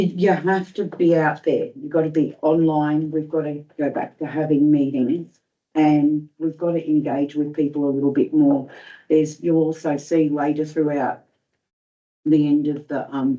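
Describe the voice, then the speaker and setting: A woman councillor arguing her point in a council meeting, her voice going hoarse. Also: low-pitched, warm tone. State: losing her voice. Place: council chamber. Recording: dull lo-fi meeting audio.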